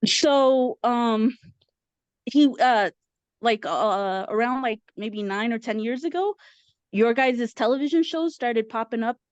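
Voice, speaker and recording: Mexican woman, 30s, chatty and excited, lots of um and uh, muffled dry zoom audio. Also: fairly high pitch, takes it slowly, dry recording. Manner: eager, chatty, many fillers. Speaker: caller. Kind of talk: casual chat. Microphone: video call.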